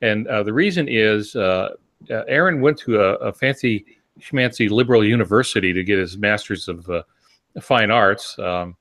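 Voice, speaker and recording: A man's voice: lowish voice, moderate pace, fairly bright tone. An older man co-hosting a podcast, explaining casually in a wry, joking way. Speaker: podcast co-host and author.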